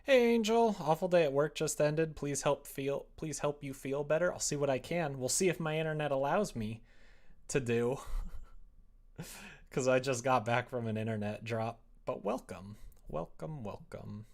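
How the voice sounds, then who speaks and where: A young man hosts a casual livestream while he works. His voice sits at an average pitch for a man. He talks in an offhand, sardonic way, grumbling and putting himself down. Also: normal speed, a little mellow. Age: young adult. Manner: self-deprecating, sardonic grumbling. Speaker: livestream host.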